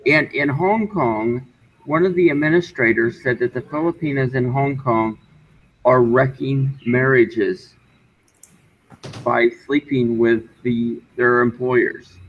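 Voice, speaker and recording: A man arguing his point in casual online talk, halting and insistent, often repeating himself. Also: mid-range voice. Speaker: discussion guest. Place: online group voice call. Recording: dull, noisy voice-call audio.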